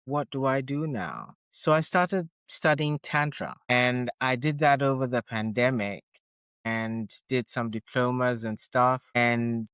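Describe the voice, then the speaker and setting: A man's voice: mid-range voice, slow pace, a bit bright, smooth, no room sound, studio quality. A middle-aged man, raised in Ghana, Switzerland and the UK, speaking as a guest touch therapist, telling his story in a reflective, explanatory way. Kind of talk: casual interview storytelling.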